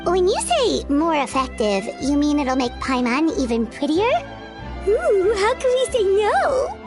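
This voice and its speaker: A tiny fairy companion from a video game, extremely high and squeaky, with a lively swooping delivery. The figure is childlike, blunt and excitable, speaking in exclamations over prominent music. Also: female voice, unhurried, exaggerated voice. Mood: curious, eager. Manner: exclamatory, speaks of self in third person. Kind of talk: video game character dialogue. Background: background music.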